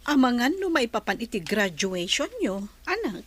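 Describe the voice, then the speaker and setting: A woman playing an affectionate, gentle mother in an audio drama, speaking in a motherly, chuckling way. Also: fairly high pitch, big pitch swings, normal speed. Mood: fond. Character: affectionate, unhurried mother chatting about her child. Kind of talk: Filipino audio drama acting.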